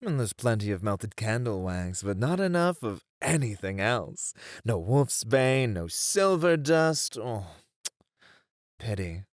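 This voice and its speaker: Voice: mid-range voice, big pitch swings, slow pace, no echo, clean studio sound. A performer voicing a playful, smugly flirtatious demon in an ASMR roleplay, with a drawling, theatrical, teasing delivery. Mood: mock pitying.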